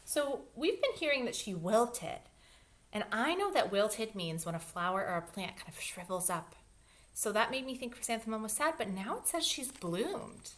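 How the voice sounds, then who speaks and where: A woman teacher reading a children's storybook aloud expressively, pausing to explain things to young listeners. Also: fairly high pitch, big pitch swings, fairly bright tone, slight background noise.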